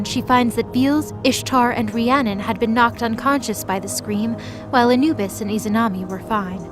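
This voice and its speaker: A woman giving scripted, dramatic narration as the storyteller of an audio drama. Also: medium pitch.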